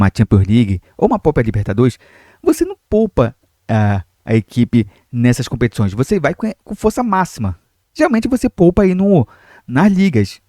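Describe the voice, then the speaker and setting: A young man with a Brazilian Portuguese accent runs his football channel in a loose, chatty way, full of fillers and asides to the audience. His voice is mellow and a little rough from a cold he is getting over. The sound is dry and close. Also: medium pitch, no room sound. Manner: chatty, many fillers, addresses viewers directly. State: recovering from a cold, irritated throat. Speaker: football commentary channel host. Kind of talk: casual football commentary to camera.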